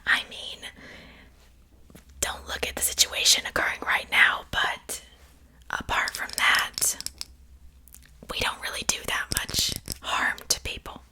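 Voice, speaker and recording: Slow whispering witch, played by a woman. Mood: playfully reassuring. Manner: gentle, unhurried, reassuring. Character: friendly, chatty witch seasoning a captive for stew. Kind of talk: whispered ASMR roleplay.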